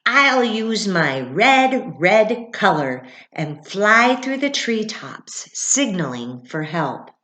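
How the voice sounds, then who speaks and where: Woman playing a grand, loud, slow cardinal bird. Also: mid-range voice, sing-song, exaggerated voice. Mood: grand, eager. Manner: grand, declamatory, drawn-out. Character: bold cardinal bird announcing himself, eager to help. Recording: echoey, roomy sound.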